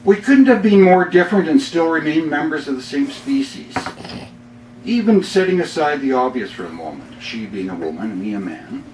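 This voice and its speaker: A middle-aged Canadian man reading his own poems live, with measured, deliberate phrasing and dramatic pauses. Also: on the high side, sing-song, darkish tone. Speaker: poet reading own work. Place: bookstore reading before a small audience. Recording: roomy, distant mic, faint noise.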